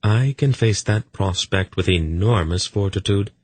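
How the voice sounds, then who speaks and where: A man voicing a dry, sardonic, commanding disembodied voice inside a hero's head, pitched up from his own. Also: unhurried.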